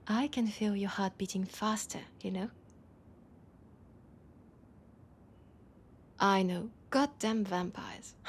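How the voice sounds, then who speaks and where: A woman playing a proud but desperate vampire leader in an intimate audio drama, with a French accent and a halting, breathy delivery that slips toward whispers. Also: mid-range voice, a little hiss or hum. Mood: teasing, tender. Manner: hesitant, halting, breathy asides dropping into whispers.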